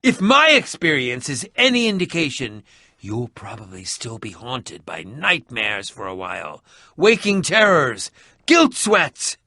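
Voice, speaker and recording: An actor voicing an eccentric, excitable middle-aged adventurer in a video game, with a pompous, wordy, theatrical manner. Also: male voice, mid-range voice, unhurried, no room sound, clean studio sound, full character voice. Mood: dry, musing. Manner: theatrical, pompous, wordy, swinging from muttering to exclaiming. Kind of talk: animated video game voice acting.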